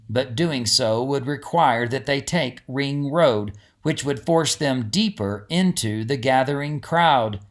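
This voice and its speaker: A man who is an author, narrating a storybook and giving casual commentary on it. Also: medium pitch.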